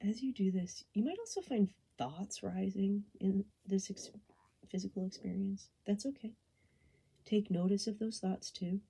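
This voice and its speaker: Husky, breathy woman guiding a meditation. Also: medium pitch. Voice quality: soft, breathy, often falling into a whisper. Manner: gentle, unhurried, soothing instruction. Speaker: meditation guide. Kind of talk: guided body scan meditation.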